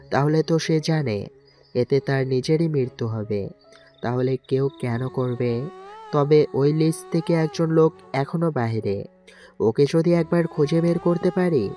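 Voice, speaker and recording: A man playing a composed, duty-bound navy commander in an audio drama, speaking in a measured, authoritative, reassuring way. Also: fairly high pitch, warm tone, natural voice. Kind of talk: Bengali audio story acting. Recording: music bed under the voice.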